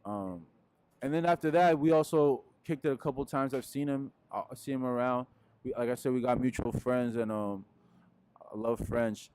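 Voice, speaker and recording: A young man from Peru, a musician answering interview questions in a relaxed, rambling way with lots of fillers, speaking into a handheld mic indoors. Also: mid-range voice, moderate pace, smooth, no room sound. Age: young adult. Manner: laid-back, rambling, frequent fillers. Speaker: musician guest. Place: indoors in front of a wooden wall.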